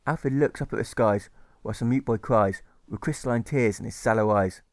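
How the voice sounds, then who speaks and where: A man narrating a rhyming audiobook in a measured, rhythmic manner that stresses the rhymes. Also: lowish voice. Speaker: author reading his audiobook.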